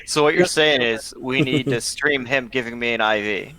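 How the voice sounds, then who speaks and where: A man teasing his friends in lively banter before a tabletop game. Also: medium pitch, moderate pace, a loud crowd, rough recording.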